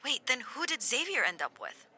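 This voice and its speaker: A woman's voice: high-pitched, sing-song, a little fast, bright, forceful tone, full character voice. A woman playing a brusque, impatient tech agent with a blunt manner in a comedy audio drama. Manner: blunt, exasperated.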